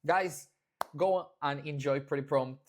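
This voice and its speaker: An adult from Argentina with an Argentine Spanish accent, giving a casual, enthusiastic and informal walkthrough of software updates. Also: medium pitch, a little slow, a little hiss or hum. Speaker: product maker presenting software updates. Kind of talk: casual product update demo.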